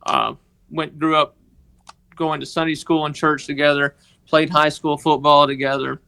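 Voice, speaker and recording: A man with a Mississippi accent, telling a personal story on a podcast in a halting, earnest way. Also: a little high, flat delivery, vocal fry, pushed and bright, clean tone. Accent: Southern US, Mississippi. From northeast Mississippi, United States. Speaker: podcast guest, organization member telling his story.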